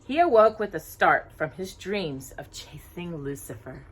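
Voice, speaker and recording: A woman reading a children's storybook aloud in an expressive, animated storyteller's manner. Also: fairly low pitch, unhurried, husky. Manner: animated, asks listeners questions.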